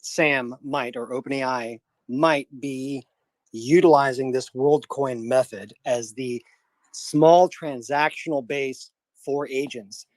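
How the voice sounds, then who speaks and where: A man with a medium-pitched voice offers commentary as a panel guest. He phrases his ideas tentatively and speculatively, and the sound is dry with little room around it. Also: no room sound, poor audio.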